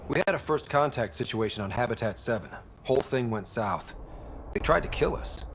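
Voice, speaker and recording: A young male explorer played by an actor with a mid-range, animated voice. He sounds casual and inquisitive in a sci-fi game, over faint background noise. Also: mid-range voice, a little fast, natural voice. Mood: casual, curious.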